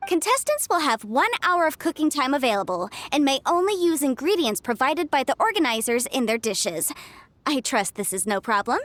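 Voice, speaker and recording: A woman voicing a brisk young female cooking contest host in a video game, with a crisp, showy announcing delivery. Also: high voice, big pitch swings, fairly bright tone, no room sound. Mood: brisk, official. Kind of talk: event announcing.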